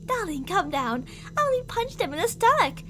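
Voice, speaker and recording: A woman voicing an obsessive, possessive young woman who holds her beloved captive, cooing sweetly with menace and snapping into shouts. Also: very high voice, big pitch swings, moderate pace, a little hiss or hum, heavily acted. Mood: menacing sweet. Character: obsessive possessive female yandere captor. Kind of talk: audio roleplay drama.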